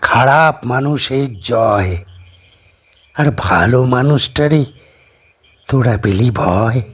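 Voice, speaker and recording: A man voicing an ominous, commanding old holy man with a husky voice and slow, drawn-out delivery, in a horror audio drama. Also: lowish voice, full character voice. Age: older. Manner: slow, drawn-out, menacing chanting and speech.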